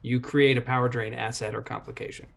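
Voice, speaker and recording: A man's voice: mid-range voice, level tone, quick pace, gravelly. A man at a tabletop roleplaying game, helpfully clarifying rules in a casual way.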